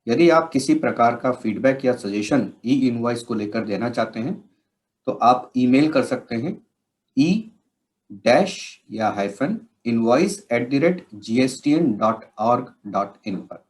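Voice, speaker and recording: A man with an Indian accent explains software steps as a trainer, in measured, instructional phrasing. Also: medium pitch, animated. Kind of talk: instructional software tutorial narration.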